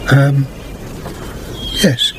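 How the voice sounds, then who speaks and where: An actor playing a reclusive, polite weaver in a radio drama, hesitant and reserved, saying little. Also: male voice, background noise. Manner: halting, reserved, few words.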